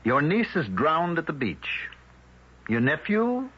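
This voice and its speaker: An actor playing a smooth, threatening village leader in an old radio drama, with a husky voice and a mocking manner. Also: male voice, a little high, very animated, slow pace, full character voice. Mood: menacing. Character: cold menacing male village leader. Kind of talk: 1970s radio drama acting. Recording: dull old radio broadcast.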